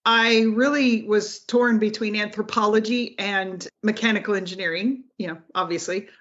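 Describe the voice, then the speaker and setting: A woman's voice: mid-range voice, fairly even intonation, moderate pace, fairly bright tone, highs cut off. American woman, thoughtful and laughing. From Colorado, US. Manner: thoughtful, laughs often, restarts phrases. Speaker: guest, engineering professor. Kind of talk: casual interview talk.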